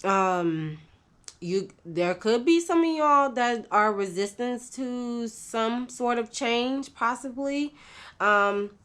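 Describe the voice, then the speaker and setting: A woman, congested and nasal from a cold, giving an unscripted tarot reading in a slow, halting way with many fillers. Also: fairly high pitch, animated, fairly bright tone. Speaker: tarot reader.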